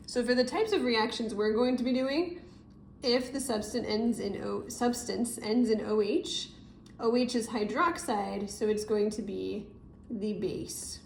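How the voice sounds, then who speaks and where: A woman's voice: a little high, measured pace, vocal fry. A woman teacher giving a casual, explanatory step-by-step tutorial, recorded indoors at a desk. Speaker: chemistry teacher. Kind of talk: informal step-by-step tutorial narration. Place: indoor room, at a desk over paper. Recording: roomy echo with noticeable background noise.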